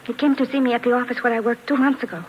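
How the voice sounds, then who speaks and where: A woman's voice: a little high, steady tone, racing, natural voice. A woman playing an earnest, frightened foreign immigrant in a 1950s radio drama, speaking in formal, careful phrases. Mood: guarded. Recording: dull, faintly noisy vintage radio recording.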